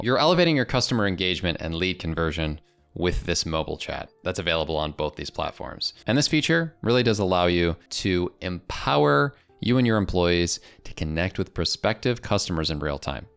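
A man in his twenties with an American accent, presenting a casual software demo into a close desk microphone in a small home studio. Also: deep voice, clean tone. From United States. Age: 20s. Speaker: presenter of software review videos. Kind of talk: casual product demo narration.

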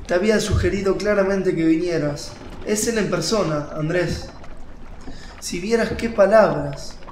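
A man's voice: high voice, unhurried, darkish tone. An actor playing a young, devout fisherman in a radio drama, earnest and insistent. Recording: roomy, faint noise, water ambience.

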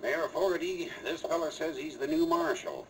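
An American actor playing a rough, suspicious, blunt cowboy with a gravelly voice in an old-time radio western. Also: male voice, a little high, animated, normal speed, natural voice. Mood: angry, blunt. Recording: slightly distant vintage radio recording.

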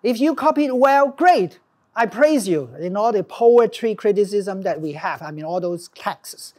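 A middle-aged man with a Hong Kong accent, lecturing informally as a professor in a classroom, animated and emphatic. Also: high-pitched, a little slow. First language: Cantonese. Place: classroom with small audience, indoors.

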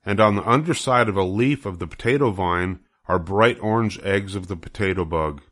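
A man's voice: sits low, normal speed, a bit bright. An older man narrating a children's book as a storybook reader.

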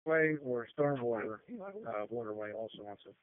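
A man giving a halting, informal briefing over two-way radio. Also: medium pitch, no echo. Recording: tinny faint radio transmission.

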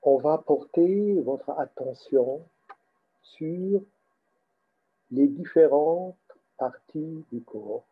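A man guiding a meditation as its teacher, speaking haltingly in short phrases with pauses between them. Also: a little high, animated, husky, phone-quality sound. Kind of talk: guided meditation instruction.

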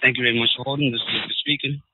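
A man's voice: mid-range voice, fairly even intonation, rapid-fire, breathy edge, no room sound, narrow, tinny audio, soft background music. A man working as a phone agent, talking in customer service style. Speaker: scam call centre agent.

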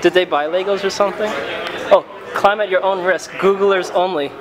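Young male vlogger, high-pitched, excitable, casual slang, handheld camera in a packed, noisy room. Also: normal speed. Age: young adult. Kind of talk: casual vlog chat. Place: large busy indoor office space with people around. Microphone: handheld camera at arm's length. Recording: loud crowd chatter behind.

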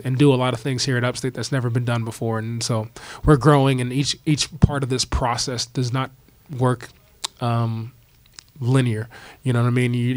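Male coach, 40s, postgame presser; steady, flat, repeats himself, dry table mic. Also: mid-range voice, dry recording. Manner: repetitive restarts, steady and measured. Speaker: basketball coach. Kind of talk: postgame press conference answers. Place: press conference room, seated at table. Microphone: table mic on stand.

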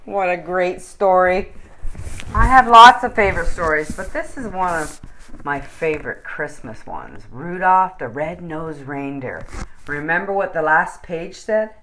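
A woman reads to children as a story time host. Her voice sits a little low and moves expressively up and down, with slow, deliberate pacing. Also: slight background noise, rough recording. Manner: deliberate, animated.

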